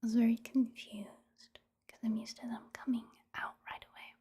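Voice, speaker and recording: A woman giving soft-spoken ASMR game commentary in a hushed, breathy whisper, in a gentle, casual, unhurried manner. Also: on the high side, flat delivery. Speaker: gaming ASMR video host.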